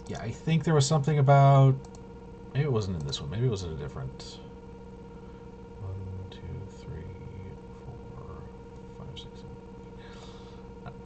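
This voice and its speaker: A man giving a casual, unscripted walkthrough as a host, rambling and halting, with muttered asides to himself. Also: mid-range voice, lo-fi sound, background noise. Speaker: host of a tabletop RPG review video.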